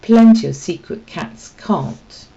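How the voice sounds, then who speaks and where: A tough female street cat from a children's storybook, acted out by a woman reader. She is blunt and mocking, with a creaky edge to the voice, heard on a slightly muffled recording. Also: lowish voice, expressive, slow, mellow, exaggerated voice. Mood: dismissive. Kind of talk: children's storybook read-aloud.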